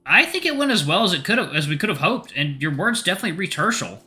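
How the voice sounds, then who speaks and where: Man as a cheeky, bright young hero. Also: high voice, big pitch swings, quick pace, faint music behind, voice pushed up, heavily acted. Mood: warm teasing.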